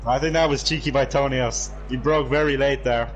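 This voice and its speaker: Casual male co-commentator, quick reactions. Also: medium pitch, steady tone, dull audio, slight background noise. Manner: short reactive interjections, casual. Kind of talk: live sim racing commentary.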